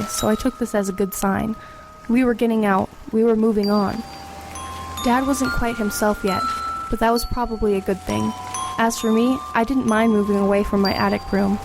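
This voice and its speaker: A woman's voice: medium pitch, lightly acted. A young woman playing an earnest, anxious but resolute teenage girl who narrates and acts in an audio horror drama. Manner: earnest, urgent in dialogue, reflective in narration. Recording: rain and ambience behind the voice.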